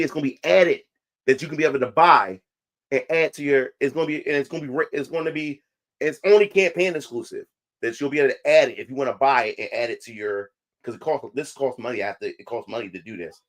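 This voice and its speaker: Rambling man chatting to viewers. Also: a little high. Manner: repetitive, restarts phrases often. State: voice not fully recovered. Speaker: independent comic creator. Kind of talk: casual livestream talk to viewers.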